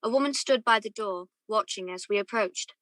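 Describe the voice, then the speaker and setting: A woman's voice: mid-range voice, moderate pace, fairly bright tone, dry recording, lightly acted. A young woman playing a wistful, courteous man who narrates his memories lyrically in a radio play. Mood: admiring, reflective. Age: young adult. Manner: lyrical, reflective storytelling. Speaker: student actor.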